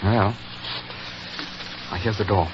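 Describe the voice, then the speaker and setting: Low-voiced, impatient English lord. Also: male voice, steady tone, slow pace. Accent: British. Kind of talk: 1940s radio acting. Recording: very noisy, crackling muffled 1940s radio recording.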